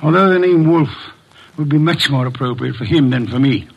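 An actor playing a bulky, pompous genius detective who grumbles in formal, wordy speech, in old American radio drama style. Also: male voice, fairly high pitch, normal speed, vocal fry, slightly rough, muffled recording. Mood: grumbling, irritated. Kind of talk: 1940s-50s American radio drama acting.

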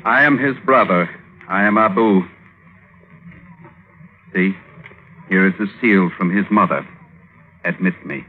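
Casting: blunt shepherd, very low voice, dry sardonic edge, vintage radio play with thin, hissy sound. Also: male voice. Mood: bluntly candid. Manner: measured, sardonic, terse. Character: poor, sardonic shepherd son, bluntly candid. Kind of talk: 1950s radio drama acting. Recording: muffled, noisy old radio recording.

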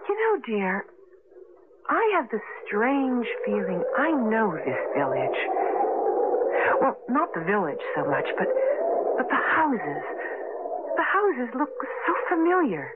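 An actress in a 1980 radio drama playing an anxious, wistful young married woman, expressive and hesitant, on a noisy old broadcast recording. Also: on the high side, takes it slowly, long pauses, narrow, tinny audio, full character voice. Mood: uneasy.

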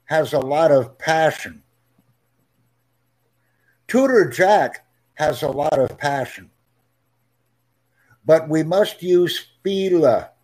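A man speaks at a deliberately slow pace, with a slightly raised pitch. He is a tutor who talks in a friendly, emphatic way and often repeats himself for clarity. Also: lively intonation, darkish tone, low-quality recording. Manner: speaks slowly and clearly, friendly, emphatic repetition. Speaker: English tutor. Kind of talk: slow, clear teaching talk.

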